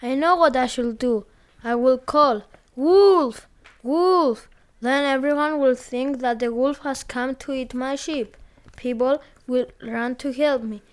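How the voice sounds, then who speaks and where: A woman's voice: high voice, steady tone, measured pace, smooth. Woman voicing a mischievous shepherd boy. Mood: playful. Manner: calls out loudly, playful then pleading.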